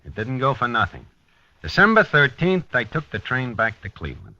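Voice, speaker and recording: An American actor playing a dogged, weary police lieutenant in a 1950s radio drama, with a clipped, hard-boiled delivery. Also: male voice, medium pitch, huge pitch swings, moderate pace, pushed and bright, no room sound, full character voice. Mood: matter-of-fact narrating. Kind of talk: 1950s radio drama acting and first-person narration. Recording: dull vintage 1950s radio recording.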